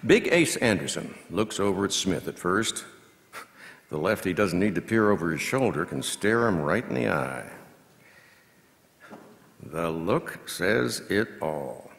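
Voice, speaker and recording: A man performing a scripted baseball play-by-play as an announcer, in measured, clipped phrases that can swell into excited calls. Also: sits low, vocal fry, exaggerated voice. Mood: measured, watchful. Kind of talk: scripted radio-style baseball commentary, read as a performance. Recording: long reverb of a large hall.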